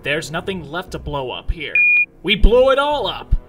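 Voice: mid-range voice, sing-song, moderate pace, over loud music, close to an everyday voice. A performer voicing an irritable, dismissive alien leader in a cartoon comic dub. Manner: dismissive, exasperated.

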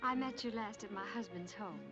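An actress playing a gentle, earnest young woman with a soft sweet voice, on a dull old film soundtrack with music behind. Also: mid-range voice, fairly quick, close to an everyday voice.

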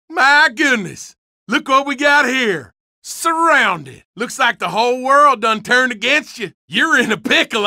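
A man's voice: very high voice, pushed and bright, dry recording, studio quality, exaggerated voice. An actor playing a crude, hostile rural redneck man with a Southern accent, delivering profane game lines. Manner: crude, threatening, profane drawl. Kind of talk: video game voice barks.

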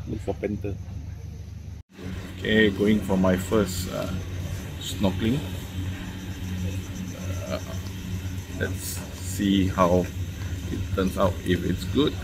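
A man in his thirties casually narrating a travel vlog, listing food in a relaxed, halting way. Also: low-pitched. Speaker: travel vlogger. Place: outdoors on a beach island, then indoor buffet. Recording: loud background noise.

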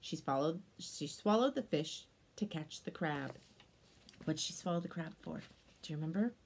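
A woman in her thirties reading a picture book aloud to children, expressive, with exclamations and chatty explanatory asides. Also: low-pitched, moderate pace, vocal fry, a little hiss or hum.

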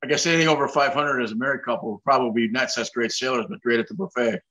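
A man's voice: mid-range voice, fairly even intonation, rapid-fire, bright, forceful tone, poor audio. An older man hosting a casual interview over a video call, asking rambling questions and making self-deprecating jokes. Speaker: host.

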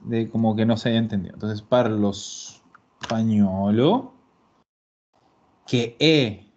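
A man teaching Italian in an online class, patient and explaining slowly, repeating words. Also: fairly low pitch, a little mellow. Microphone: video call. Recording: dull lo-fi call audio.

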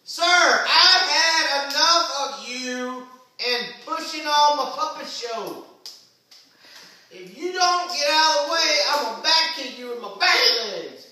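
A woman's voice: sits high, lively intonation, slow, sharp tone, exaggerated voice. A woman voicing a bossy, easily annoyed elderly horse in an improvised home puppet show, scolding the audience theatrically. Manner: theatrical, scolding, addresses audience as ma'am and sir. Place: large home living room, hard floor. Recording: echoey room, far from the mic.

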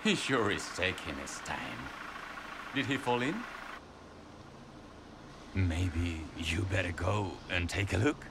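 A man's voice: deep voice, expressive, takes it slowly, long pauses, full character voice. An actor playing a wisecracking, lazy policeman in a video game, flippant and sarcastic. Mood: flippant, bored. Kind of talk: cinematic video game acting. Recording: clear vehicle noise.

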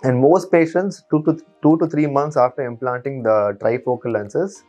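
A man in his thirties, an eye surgeon, calmly and methodically explaining to patients from a small clinic office on a lapel mic. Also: medium pitch, normal speed, lo-fi sound, soft background music. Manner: calm, methodical, steady explainer. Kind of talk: explanatory patient education talk.

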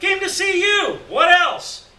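A man's voice: very high-pitched, animated, slow pace, bright, forceful tone, voice pushed up, heavily acted. A man voicing a boisterous, devil-may-care drifter buddy with slangy, brash talk, in a story read aloud. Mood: excited shouting. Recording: roomy, distant mic, noisy venue.

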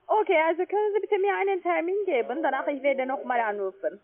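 A woman's voice: high voice, fast. A woman with a foreign accent in German, a non-native speaker making a call-centre sales call. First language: not German. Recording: narrowband phone line.

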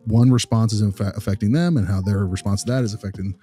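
A man's voice: fairly low pitch, fast, dark tone, breathy edge, faint music behind. A man talking conversationally as a podcast host, explaining at length in an earnest, emphatic way. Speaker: podcast host discussing behavior analysis. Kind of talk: conversational discussion.

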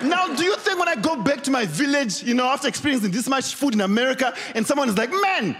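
High-pitched animated Zimbabwean comedian. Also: male voice, fast talker. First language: not English. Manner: animated, shouting punchlines, laughing between lines. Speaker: stand-up comedian. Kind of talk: stand-up comedy set. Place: large TV talent show stage before a big audience. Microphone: handheld mic. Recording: loud music and crowd behind. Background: cheering crowd and show music.

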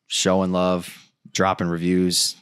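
A man in his thirties hosting a podcast, talking earnestly in a casual pep-talk style with restarts, in a studio at a boom mic. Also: monotone, slow, fairly bright tone, smooth, no echo. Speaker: podcast host. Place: dim studio set with couches. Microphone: boom mic on stand, headphones.

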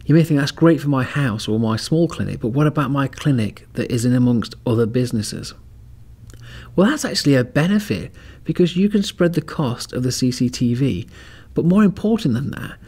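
Gravelly-voiced man giving casual advice. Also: medium pitch, dark tone. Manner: chatty, walks about while demonstrating cameras. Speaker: podcast host. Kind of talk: casual product demo and advice talk. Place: outdoors at home driveway, then indoors.

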